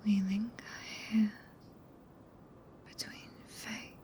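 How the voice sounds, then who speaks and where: A woman with a British accent playing a tender, possessive, regal witch in a soft breathy ASMR whisper, with archaic, stately phrasing. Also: medium pitch, level tone, takes it slowly, close to an everyday voice. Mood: tender, commanding. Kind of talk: ASMR roleplay. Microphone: close binaural microphone.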